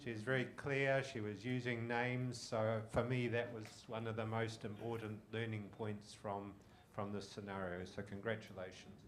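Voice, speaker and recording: A man judging a competition, giving measured, congratulatory feedback. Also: on the low side, level tone, slight background noise.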